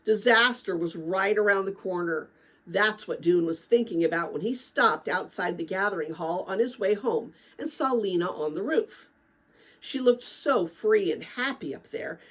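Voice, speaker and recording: A woman reading a children's book aloud in a dramatic, expressive style, with a chatty manner. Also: medium pitch, moderate pace, poor audio. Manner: expressive, chatty when commenting to listeners. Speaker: read-aloud narrator.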